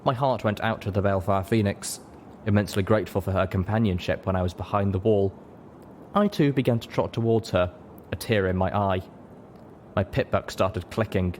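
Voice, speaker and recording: Man, low voice, audiobook narrator, scripted storytelling, noticeable background noise. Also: darkish tone.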